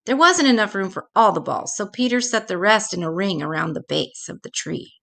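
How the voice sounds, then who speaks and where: A woman reading a children's storybook aloud expressively, with dramatic pauses. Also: mid-range voice, lively intonation, vocal fry, a bit bright.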